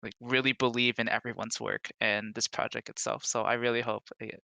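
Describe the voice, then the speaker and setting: A man speaking earnestly and haltingly as a developer guest in a casual interview. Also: medium pitch, flat delivery, creaky, bright, forceful tone, no room sound. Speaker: indie game developer, podcast guest.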